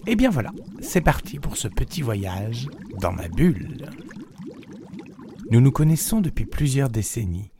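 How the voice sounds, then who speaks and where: Lively-voiced man hosting a radio show. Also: medium pitch, measured pace, a little hiss or hum. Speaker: radio host. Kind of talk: radio show introduction and interview.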